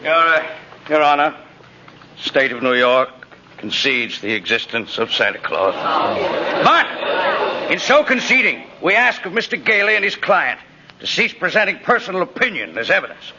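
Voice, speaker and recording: An actor playing a formal, exasperated district attorney, argumentative and declamatory, in a 1950s radio drama. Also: male voice, fairly high pitch, sing-song, normal speed, some chatter behind, heavily acted. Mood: indignant, formal. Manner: forceful, declamatory. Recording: muffled, noisy vintage radio recording.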